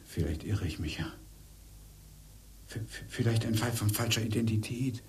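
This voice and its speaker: An actor in a radio play playing a shaken cable technician who mutters haltingly to himself. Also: male voice, lowish voice, close to an everyday voice. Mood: stunned disbelief.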